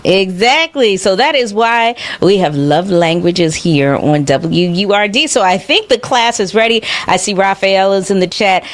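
A woman's voice: medium pitch, unhurried, few pauses. A woman hosting a casual live radio show, chatting in an enthusiastic, encouraging way.

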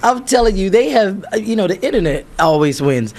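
A man with a raspy voice, a little hoarse, hosting morning talk radio as a fill-in and reading out news stories. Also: sits high, fairly quick. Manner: animated, laughs often. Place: radio studio.

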